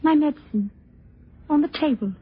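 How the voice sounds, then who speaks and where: An actress playing a gentle, ailing middle-aged aunt in an old radio drama, soft-spoken and resigned. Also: female voice, high voice, normal speed, natural voice. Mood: weary. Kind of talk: 1940s radio drama acting. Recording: muffled old radio recording.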